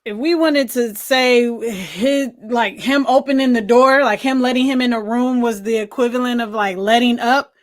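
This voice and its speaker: A woman's voice: a little high, normal speed, hardly any pauses, no echo. An American woman co-hosting a casual movie discussion, thinking aloud in a conversational way. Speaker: podcast co-host reviewing films. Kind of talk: casual chat, film discussion.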